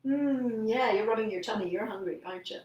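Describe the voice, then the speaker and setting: A woman's voice: a little high, brisk, darkish tone, roomy sound, muffled recording, slight background noise. A woman hosting a children's storytime, reading and chatting expressively and speaking directly to young listeners. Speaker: children's storytime host and singer. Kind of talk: children's storytime read-aloud and sing-along.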